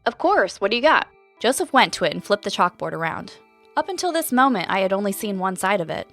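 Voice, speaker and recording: An actress playing a curious, chatty podcast host investigating a mystery, moving between scripted narration and casual, wry conversation. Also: female voice, mid-range voice, big pitch swings, quick pace, a bit bright, faint music behind. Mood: curious, intrigued. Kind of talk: scripted first-person narration and conversational dialogue.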